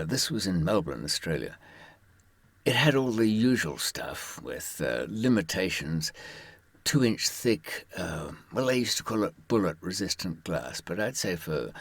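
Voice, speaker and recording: Male, low husky voice, lively intonation, wry understated humour, informal storytelling. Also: a little slow, no room sound. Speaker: author and former prisoner giving advice. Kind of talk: informal first-person storytelling to listeners.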